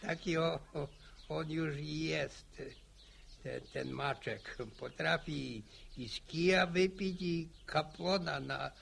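An old country grandfather from a radio serial. His voice is very creaky and a little high for a man, and he speaks with halting, repeated, gently teasing interjections. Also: slight background noise, heavily acted. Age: older. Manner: repetitive, halting, folksy interjections. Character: old Polish village grandfather, folksy, nodding along, gently teasing. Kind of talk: radio drama dialogue.

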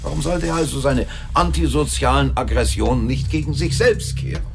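An actor playing a coldly rational professor and doctor in a radio drama, arguing in a formal, deliberate, lecturing manner. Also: male voice, mid-range voice, creaky, over music, lightly acted. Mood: coldly persuasive.

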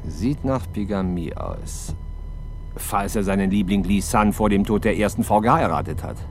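A man playing a gruff, sardonic senior police detective in a 1970s German radio crime play, brusque and commanding. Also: on the low side, big pitch swings, moderate pace, faint music behind. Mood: dry, pondering.

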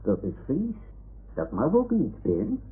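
A man's voice: mid-range voice, wildly swooping pitch, slow pace. A man playing a fussy, pompous, grumbling toy lion who is a boy's confidant in a radio play. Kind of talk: 1960s Dutch radio play acting. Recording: old narrow-band radio recording.

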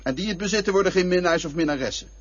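A man's voice: high-pitched, rapid-fire, highs cut off, lightly acted. A man in a radio play voicing a cynical inner voice that probes and mocks a lover. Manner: cynical, probing, mocking.

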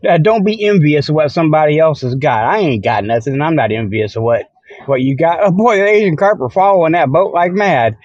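A man's voice: fairly high pitch, animated, brisk, darkish tone, no echo, muffled recording. A man hosting a comic report show in a rambling, folksy, easily sidetracked monologue full of okays and you-knows. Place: outdoors by a river.

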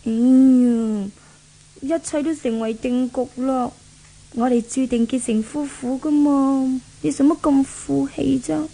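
A woman's voice: high voice, fairly even intonation, slow, vocal fry, mellow, heavily acted, rough recording. A woman acting a spoiled, scheming rich young bride-to-be in a 1970s Hong Kong Cantonese radio drama, coaxing and possessive in manner. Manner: coaxing, wheedling.

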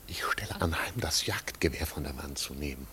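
An actor playing a pedantic, long-serving older butler in a 1950s radio play, speaking in stilted, pompous, formal phrases. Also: male voice, rumbling low, brisk, bright, forceful tone, in a whisper. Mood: dry, deferential.

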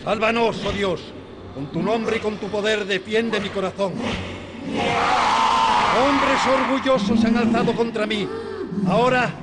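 A man acting in a radio drama as a commanding exorcist priest, declaiming prayers forcefully in a raised voice. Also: high-pitched, unhurried, pauses often. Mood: commanding, fervent. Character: commanding exorcist priest praying fervently against a demon. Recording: loud background noise under the voice.

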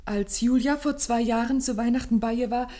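An actress playing an anxious, grieving young gentlewoman who tells her story formally and intensely in a German radio play. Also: fairly high pitch, moderate pace, lightly acted. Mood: grave, composed. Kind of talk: German radio play acting, dramatic first-person narration.

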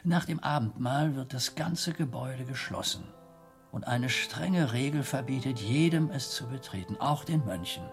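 An actor playing a worried, dignified older abbot in a German radio drama, speaking formally and guardedly with a gravelly, husky voice. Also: male voice, medium pitch, normal speed, soft background music, close to an everyday voice. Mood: guarded, insistent. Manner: solemn, guarded, hesitating before grave words.

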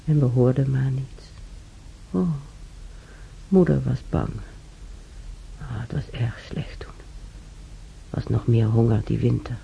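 An actor playing a weary, ailing German recalling wartime in an intimate radio drama, halting and sighing, often muttering close to a whisper, with a gravelly voice. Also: male voice, medium pitch, dull audio, a little hiss or hum. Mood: sombre, bitter.

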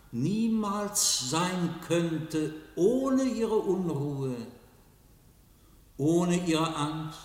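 Casting: an enigmatic solemn giant of a man, very slow, booming from far off in a long reverberant hall, old radio play. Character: vast mysterious male figure, solemn and cryptic. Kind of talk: 1950s radio drama acting. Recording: distant voice in long hall echo.

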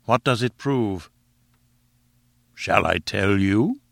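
A man voicing a furious, bullying professor in an audiobook, pompous and menacing, with a rumbling, booming delivery. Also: expressive, unhurried, bright, forceful tone, no echo, heavily acted. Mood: coaxing menace. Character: short, barrel-built furious professor, bullying and contemptuous. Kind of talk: audiobook character acting.

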